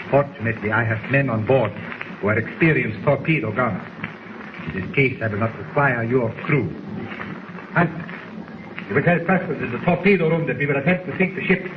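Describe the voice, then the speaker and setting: A man's voice: medium pitch, close to an everyday voice. A man acts out a smug German spy commander in a 1940s radio serial. He is coldly courteous with menace underneath. The recording is tinny and buried in heavy noise. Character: smug cold villainous foreign spy leader commanding a captured submarine. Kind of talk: 1940s radio drama acting.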